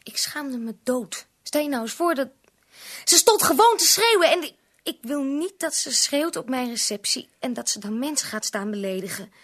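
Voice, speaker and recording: An actress playing a worried, insistent young bride-to-be pleading as a daughter in a radio play. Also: female voice, high voice, lively intonation, moderate pace, fairly bright tone. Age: young adult.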